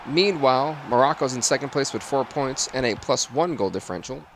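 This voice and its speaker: A man with a raspy, mid-pitched voice commentates a football video game for a live stream. He talks like a play-by-play sportscaster, and there is noticeable noise behind him. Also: animated, fairly quick.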